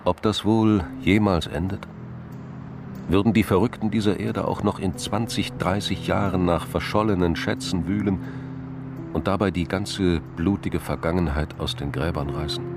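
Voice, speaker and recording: A male narrator with a low voice reads literary crime fiction for a radio play. His phrasing is measured and dramatic, broken by deliberate pauses, with faint noise behind him. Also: steady tone.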